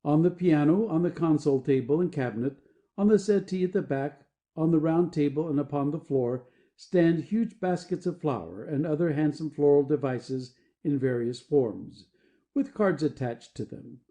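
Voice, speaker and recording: A man reading the stage directions of a play as scripted narration, in a measured, descriptive way. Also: a little high, dark tone.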